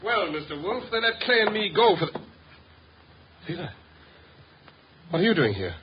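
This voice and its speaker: An actor playing an uneasy, defensive agent under accusation in 1950s radio drama style, flustered and protesting. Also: male voice, high voice, very animated, moderate pace. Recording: dull, noisy 1950 radio recording.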